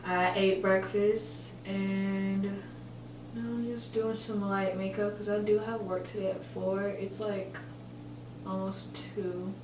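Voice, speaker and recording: A woman's voice: medium pitch, flat delivery, slow. A woman in her twenties chatting casually while doing her makeup, rambling and correcting herself, with lots of filler words. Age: 20s. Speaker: college student vlogger. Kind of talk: casual get-ready-with-me chat. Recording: roomy echo, distant mic, faint hum.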